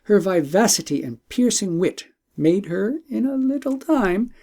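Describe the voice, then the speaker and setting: A man narrates an old tale for an audiobook, with a voice pitched a bit above the usual for men. His tone is warm and soft, and he reads in a measured, formal storytelling manner. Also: very animated.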